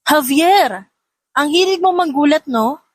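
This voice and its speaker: A woman's voice: high voice, expressive, measured pace, no echo, voice pushed up. A woman voicing a flustered, playful young mother in an audio romance, bashful and teasing.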